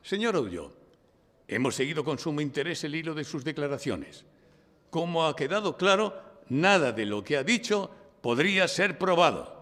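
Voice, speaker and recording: Radio drama defence attorney: Spanish from Spain, declamatory, insistent, very varied intonation, live hall reverb. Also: male voice, on the high side, very animated, normal speed, a bit bright. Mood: suave, probing. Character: theatrical male defence lawyer narrating his own courtroom case. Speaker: narrator and lead actor in an audio drama. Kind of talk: courtroom radio drama acting with first-person narration. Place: public library, before a live audience.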